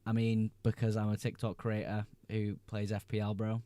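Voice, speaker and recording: A man's voice: on the low side, completely flat delivery, moderate pace, warm tone, no room sound. A young man hosting a casual livestream, reading viewers' chat messages aloud and answering them in a laid-back way. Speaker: livestream host.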